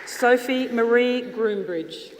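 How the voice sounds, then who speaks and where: A woman formally reading out a roll of names at a graduation ceremony, in a measured announcing manner. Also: fairly high pitch. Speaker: graduation ceremony name reader. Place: large ceremonial hall, on stage indoors. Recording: reverberant hall, loud applause and noise. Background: audience applause.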